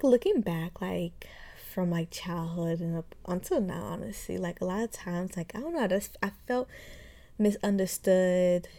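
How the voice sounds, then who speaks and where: Mellow-voiced woman in her twenties hosting. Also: fairly low pitch. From Haiti. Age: 20s. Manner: chatty, many fillers like "like" and "um", frequent light laughs. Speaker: podcast host. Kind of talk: casual podcast intro monologue.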